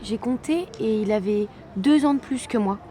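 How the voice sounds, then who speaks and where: A woman plays a thoughtful young granddaughter sharing family history in an audio drama. Her voice is fairly high, with natural everyday delivery. There is faint ambient noise behind her. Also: moderate pace, warm tone, close to an everyday voice. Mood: pensive.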